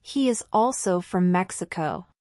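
A woman's voice: fairly low pitch, lively intonation, a little slow, darkish tone, no room sound, clean studio sound. A woman playing a polite married citizenship applicant in a scripted practice interview, answering formally and carefully in full sentences. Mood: polite, composed.

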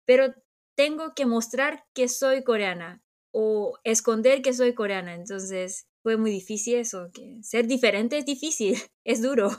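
Korean-accented woman, higher voice. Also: measured pace, darkish tone. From South Korea. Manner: reflective, restarts and searches for words. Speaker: podcast co-host. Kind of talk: casual chat.

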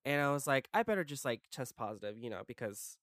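A man's voice: mid-range voice, expressive, quick pace, fairly bright tone, no room sound, clean studio sound. A young man with a congested voice, chatting casually as a podcast co-host and rambling with lots of "like" and "um.". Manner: rambling, heavy on "like" and "um". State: congested from illness. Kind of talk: casual chat.

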